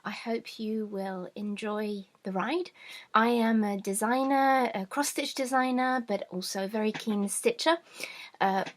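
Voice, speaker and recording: A middle-aged woman from France, born to British parents, speaking casually and a little haltingly as a craft vlog host. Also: fairly high pitch, steady tone. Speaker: host, cross-stitch designer.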